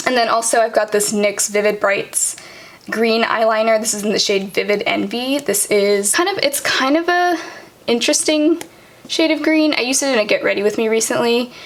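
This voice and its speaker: A young woman talking casually through her makeup, full of ums and likes, her voice tired and cracking at the end of the day. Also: a little high. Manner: chatty, many ums and likes. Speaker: beauty vlogger. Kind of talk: casual product walkthrough. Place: home vanity table, indoors.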